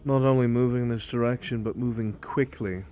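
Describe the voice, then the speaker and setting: A seasoned monster-hunting inquisitor, acted by a man, speaks with dry, deliberate self-assurance. He is cool and commanding in a mid-pitched voice, with faint music behind the fantasy roleplay. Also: fairly even intonation, dark tone, natural voice. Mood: dry, assured.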